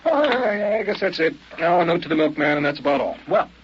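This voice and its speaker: A man's voice: a little high, expressive, fast, lightly acted. An actor playing a polite, brooding, experienced killer in an old-time radio drama, hesitant and musing as he talks. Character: seasoned licensed killer, polite, hesitant, quietly brooding man. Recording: muffled, noisy old radio recording.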